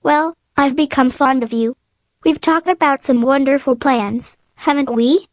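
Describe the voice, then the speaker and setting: A woman's voice: sits high, steady tone, unhurried, no echo, studio quality. A woman playing a practical, skeptical woman in a radio play. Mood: reproachful.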